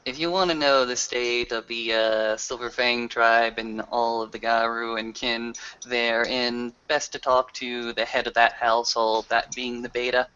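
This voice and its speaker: Bright, clear-voiced man in roleplay. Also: mid-range voice, level tone, a little slow, hardly any pauses. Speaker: player in a tabletop roleplaying game. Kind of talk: in-character roleplay conversation. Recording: muffled, lo-fi audio.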